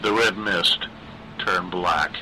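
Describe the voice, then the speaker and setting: Male horror audiobook reader. Slightly low, very bright, tense tone, deliberate dramatic pacing, faint background noise. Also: rough recording. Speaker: story narrator, reader. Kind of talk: scripted narration of a dark horror story.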